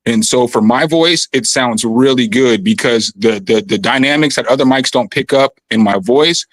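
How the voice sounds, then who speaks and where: Man, raspy voice, casual slangy rambling, home studio, dry close mic. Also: medium pitch, moderate pace, no room sound. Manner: laid-back, slangy, rambling with fillers. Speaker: guest musician on a video call. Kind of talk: casual chat. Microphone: condenser studio mic.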